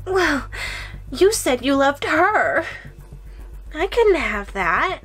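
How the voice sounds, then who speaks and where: A woman's voice: high voice, big pitch swings, a bit bright, full character voice. A woman playing a sadistic, obsessively jealous giantess in an ASMR audio roleplay, gloating and teasing. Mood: gloating, possessive. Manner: gloating, teasing, drawn-out delivery.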